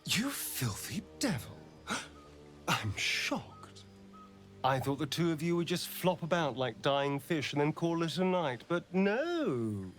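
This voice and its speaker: A male actor playing a sardonic, centuries-old vampire spawn in a video game, with an arch, dramatic delivery that swings from mocking to hushed. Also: fairly high pitch, big pitch swings, takes it slowly, soft background music, exaggerated voice. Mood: teasing, gossipy. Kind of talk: video game character dialogue.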